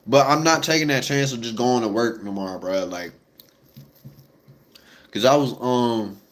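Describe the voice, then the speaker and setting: A young man talks to his viewers in a loose, rambling way, piling up fillers and slang. His voice sits at a middle pitch and sounds stuffed up and congested. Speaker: vlogger. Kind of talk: casual chat to viewers.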